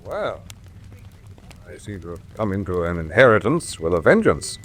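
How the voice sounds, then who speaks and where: An actor playing a stubborn, hot-tempered young heir in a radio drama, speaking in a blunt, forthright manner. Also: male voice, low-pitched, very animated, normal speed, a bit bright, heavily acted. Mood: puzzled, frank. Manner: blunt, forthright, hesitating then firm. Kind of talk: classic radio drama acting.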